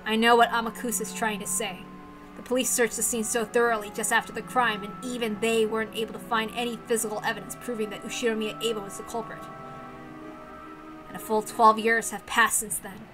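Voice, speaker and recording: A woman's voice: a little high, little pitch movement, fairly quick, music in the background, close to an everyday voice, poor audio. A woman reading the brooding, intense monologue of a bitter, weary young woman obsessed with revenge in a visual novel. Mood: doubtful, brooding.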